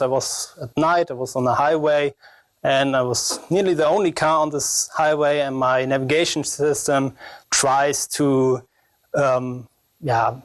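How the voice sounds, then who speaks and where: A young man with a strong German accent presents technical research at a conference. His pitch sits in a middle range and the sound is fairly dry. He talks haltingly, searching for phrasing as a non-native speaker. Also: no echo.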